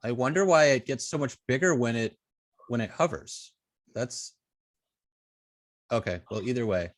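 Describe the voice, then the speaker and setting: A man's voice: medium pitch, animated, normal speed, no room sound, clean studio sound. A man teaching an informal hands-on coding lesson, thinking aloud hesitantly and trailing off mid-sentence. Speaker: web design instructor.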